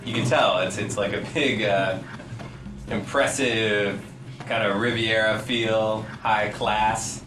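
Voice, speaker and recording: A man's voice: on the low side, steady tone, takes it slowly. A man who works as a game designer, giving casual commentary over game footage with a joking, playful, dry manner. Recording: roomy, distant mic with game music. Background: game music.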